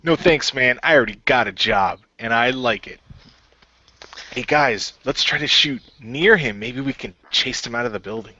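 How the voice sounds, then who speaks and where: A man's voice: medium pitch, moderate pace, bright, forceful tone, highs cut off. A man playing casually in an improvised radio play, switching between his character and narration.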